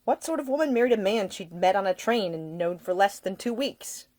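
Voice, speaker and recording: A woman reading a novel aloud as its author, in expressive scripted narration. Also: mid-range voice, fairly quick. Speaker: author reading own audiobook.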